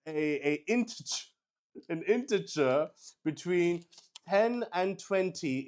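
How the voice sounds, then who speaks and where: A man hosting a solo tabletop roleplaying game in casual, halting live-stream chat, thinking aloud and repeating words. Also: high-pitched, a little mellow. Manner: swears casually.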